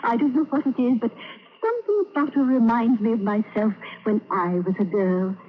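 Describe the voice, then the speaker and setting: A woman's voice: a little high, moderate pace, close to an everyday voice. An actress in an old radio drama playing a sugar-sweet, scheming middle-aged landlady poisoner who talks in a cooing, sing-song way. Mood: doting. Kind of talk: 1940s radio acting. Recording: tinny lo-fi old radio broadcast.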